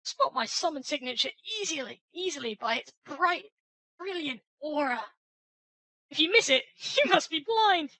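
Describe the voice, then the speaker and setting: Cheery sun knight lady, a guy doing a high falsetto, swoops all over, bright and sunny, grand way of talking. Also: lively intonation, normal speed, no room sound, exaggerated voice, rough recording. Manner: grand, sing-song falsetto, sunny and confident. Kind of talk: reading a game's dialogue aloud. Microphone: headset.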